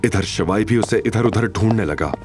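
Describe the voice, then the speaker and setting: A man's voice: lowish voice, normal speed, a little mellow, faint music behind. A man narrating a scripted romantic action story in a dramatic storytelling style.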